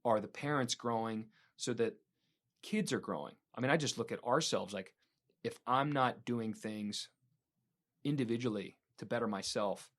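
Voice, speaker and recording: A man's voice: medium pitch, normal speed. Earnest man hosting a podcast. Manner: earnest, reflective, with some "um" and "like". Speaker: podcast host. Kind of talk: casual podcast conversation.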